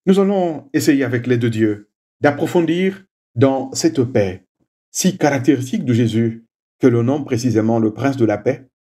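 Husky, warm-voiced male preacher. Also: mid-range voice. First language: Swahili. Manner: slow, measured, with frequent "hein" asides. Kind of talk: devotional prayer and homily.

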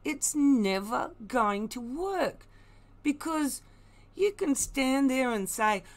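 A woman's voice: sits high, lively intonation, takes it slowly. A woman giving blunt, emphatic, mocking commentary, unscripted and opinionated. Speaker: online commentator.

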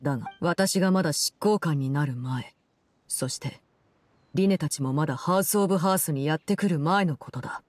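An actress playing a cold, composed game villainess, telling a story in a measured, solemn and restrained way. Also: female voice, low voice, dry recording. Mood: grave, composed. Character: cold, composed woman, Fatui Harbinger who runs an orphanage. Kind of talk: scripted game story narration.

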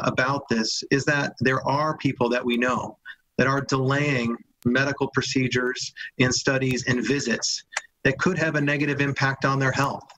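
A man's voice: mid-range voice, fairly bright tone, slight background noise, low-quality recording. A man, a hospital medical officer answering questions carefully over a video call from home, with frequent fillers. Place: home room with framed art. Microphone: video call webcam.

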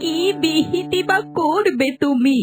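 A woman playing a gentle, wronged old mother-in-law with a plaintive manner in a cartoon melodrama, music underneath. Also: high-pitched. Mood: sorrowful. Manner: slow, plaintive.

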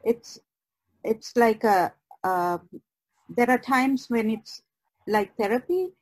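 Slow, halting woman guest, dry sound. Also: a little high, no echo, rough recording. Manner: halting, searching for words. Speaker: guest artist. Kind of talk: casual podcast chat.

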